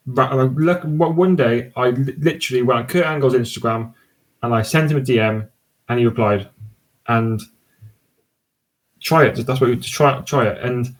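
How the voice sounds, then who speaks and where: A man talks as a podcast guest in an easy, enthusiastic ramble. His voice sits at an ordinary middle pitch, and he often stops and restarts his sentences. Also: rough recording. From Cardiff, Wales. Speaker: podcast guest and sports podcast host. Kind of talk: casual podcast conversation.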